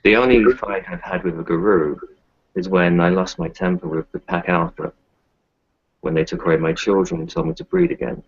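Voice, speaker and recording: A man playing a weary, kindly doctor in a tabletop roleplay, giving measured, melancholy advice. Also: deep voice, steady tone, husky, dry recording, lo-fi sound. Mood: sorrowful. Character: weary, kindly male kinfolk doctor who lost his children. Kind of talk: tabletop roleplay, improvised in character.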